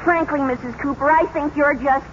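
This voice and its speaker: Very high-voiced bashful teenage boy. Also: fairly even intonation, a little fast. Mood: lovestruck. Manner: gushing, hesitant, bashful. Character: painfully shy, lovestruck, earnest teenage boy. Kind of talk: 1950s radio comedy acting. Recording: muffled, noisy old radio recording.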